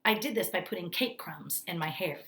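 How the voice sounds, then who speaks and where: Animated woman reading a storybook aloud. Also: fairly low pitch, fairly quick, a little hiss or hum. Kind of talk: expressive storybook read-aloud narration.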